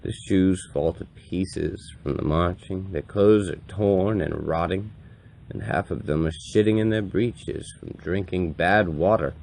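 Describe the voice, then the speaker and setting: A man performing a grave, compassionate old wandering priest in a solemn, deliberate dramatic monologue with a gravelly voice. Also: low-pitched, a little mellow, a little hiss or hum. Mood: somber. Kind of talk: dramatic audiobook-style monologue reading.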